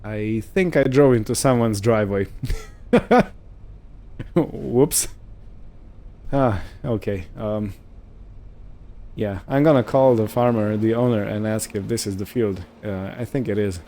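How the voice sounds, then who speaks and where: Guy doing chill game commentary, low dark soft voice, thinking out loud, bit of rumble behind him. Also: slow pace, long pauses. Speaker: gaming video host. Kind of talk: casual roleplay gameplay commentary.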